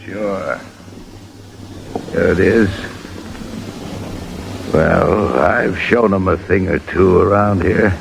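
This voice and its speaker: An actor playing an emaciated, bitter man back for revenge in an old radio drama, his voice weak and gravelly. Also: low voice, extremely slow, long pauses, exaggerated voice. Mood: weary, bitter. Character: emaciated, half-dead betrayed man, vengeful and bitter. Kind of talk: 1950s radio drama acting. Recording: old radio recording with heavy noise.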